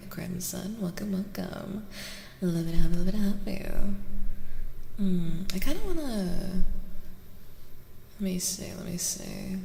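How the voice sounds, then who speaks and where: A woman livestreamer speaks in a soft, lowish voice with an unhurried, very slow delivery, often close to a whisper. She is welcoming, repeating little phrases as she chats to viewers. The sound is roomy and reverberant, with faint noise behind her. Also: vocal fry. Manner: welcoming, repeats phrases, drifts between asides. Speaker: livestream host. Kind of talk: soft-spoken ASMR livestream chat.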